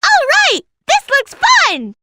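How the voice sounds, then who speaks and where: A performer voicing an excitable, cheeky little boy bee in a video game, squeaky, bubbly and eager. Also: slow, dry recording, studio quality, heavily acted. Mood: gleeful. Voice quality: squeaky, shrill. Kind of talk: video game character acting.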